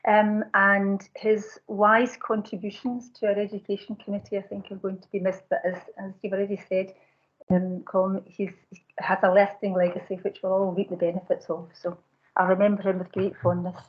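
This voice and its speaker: A woman's voice: medium pitch, level tone, normal speed. A woman, a committee member, giving a brief formal tribute at a council meeting, listing qualities one by one. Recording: dull lo-fi meeting audio.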